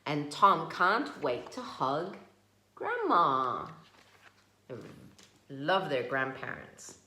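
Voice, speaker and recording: Slow, animated woman reading aloud. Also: low voice. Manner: slow, expressive, asks the listener questions. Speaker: parent educator reading a picture book aloud. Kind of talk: read-aloud to children. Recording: echoey room.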